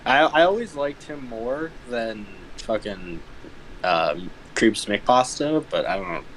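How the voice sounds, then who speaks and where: A man's voice: mid-range voice, lively intonation, takes it slowly, a bit bright, slight background noise, rough recording. A man chatting casually on a livestream, blunt and sweary, with hesitant phrasing.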